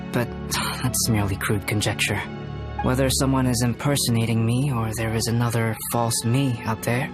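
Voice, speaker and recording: An actor playing a calm, scholarly young male alchemist in a video game, speaking in a measured, formal, reflective way. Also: medium pitch, natural voice. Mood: grave, guarded. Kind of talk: video game character dialogue.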